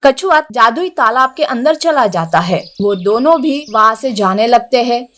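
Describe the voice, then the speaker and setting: A woman's voice: a little high, animated, unhurried, a bit bright. A woman narrating a scripted moral story for children.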